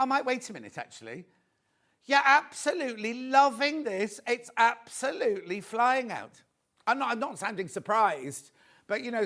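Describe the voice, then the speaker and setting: A man hosting a live shopping show, chatty and friendly, reading out viewer messages with a slightly croaky voice. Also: high-pitched. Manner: chatty, reads viewer messages aloud warmly. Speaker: shopping channel host.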